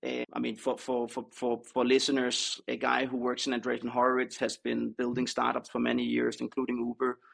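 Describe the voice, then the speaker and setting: A man's voice: medium pitch, monotone, fairly quick, vocal fry, hardly any pauses, fairly bright tone, breathy edge, rough recording. A man with a Danish accent, a native Danish speaker, chatting casually as an interview guest. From Denmark. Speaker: podcast guest, startup co-founder.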